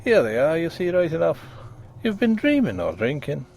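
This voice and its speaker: A man's voice: fairly high pitch, wildly swooping pitch, moderate pace, vocal fry, darkish tone, soft background music, voice pushed up, heavily acted. A man voicing a blunt, hearty young country doctor with an Irish accent, brusque and teasing. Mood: bluff.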